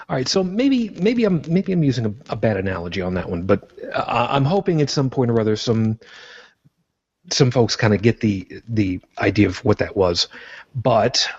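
Husky, rambling podcast guy from Brooklyn. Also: medium pitch, muffled recording. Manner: rambling, self-correcting, jokey. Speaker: podcast co-host. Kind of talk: casual chat.

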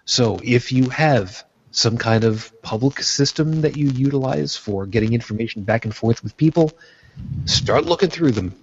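Blunt, husky-voiced man hosting a lo-fi call. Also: mid-range voice, normal speed. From New York, United States. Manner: blunt and profane. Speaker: podcast host. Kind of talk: casual roundtable talk. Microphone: video call. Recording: dull lo-fi sound.

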